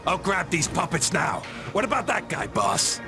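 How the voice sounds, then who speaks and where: Gravelly, high-pitched brash henchman. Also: very animated, moderate pace, music in the background. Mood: eager menace. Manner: aggressive, cartoonish. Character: brash, snarling henchman thug with a keen nose. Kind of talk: video game voice acting.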